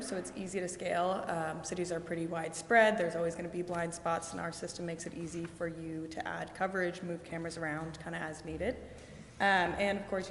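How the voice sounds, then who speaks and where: A woman with a slightly low voice walks a city council through a vendor presentation. She talks steadily and explains things, with a lot of ums along the way. The sound is roomy, with a long echo from the chamber and a faint hiss behind it. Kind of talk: sales presentation walking through slides. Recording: echoey, reverberant council chamber with faint noise.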